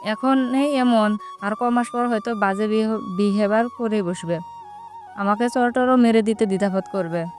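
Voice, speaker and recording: Actress as teasing, outspoken young woman in love, audio romance, mid pitch, music bed. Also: moderate pace, a little mellow, lightly acted. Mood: mock upset. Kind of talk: romantic audio drama dialogue.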